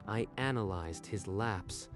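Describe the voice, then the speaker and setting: Analytical rival driver, male actor: cool, calculating, very deep, manga dub with clear music bed. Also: takes it slowly. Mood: intrigued. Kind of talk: dramatic manga dub dialogue.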